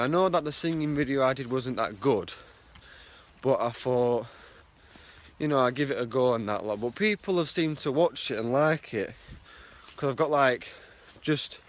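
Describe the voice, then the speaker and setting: Stuffy-nosed young man rambling into a phone. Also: medium pitch. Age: young adult. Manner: rambling, repeats himself with lots of fillers. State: blocked nose, sounds stuffy. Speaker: vlogger. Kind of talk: casual selfie vlog. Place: outdoors on a street walking to work. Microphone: phone at arm's length.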